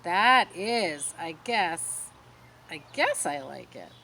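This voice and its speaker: A woman's voice: on the low side, big pitch swings, slow, sharp tone, a little hiss or hum, full character voice. A woman voicing a timid, naive young male pig in a storybook, hesitant and wondering. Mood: unsure.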